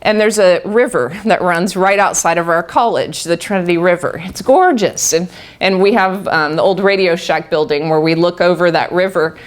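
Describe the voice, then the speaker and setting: A woman's voice: fairly low pitch, normal speed. A middle-aged woman telling a group her own story, laughing often and restarting her sentences. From Texas. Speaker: guest speaker. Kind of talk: personal talk to a live group.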